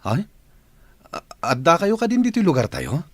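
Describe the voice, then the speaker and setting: Man acting animated Ilocano two-timing husband. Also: on the high side, moderate pace, no echo. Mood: flustered. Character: cheating married man, indulgent and easily flustered. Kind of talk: Ilocano radio comedy drama acting.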